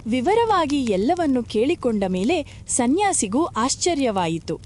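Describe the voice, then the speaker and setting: A woman's voice: high voice, big pitch swings, unhurried, darkish tone, a little hiss or hum. A woman narrating a moral folk tale in a scripted storytelling style.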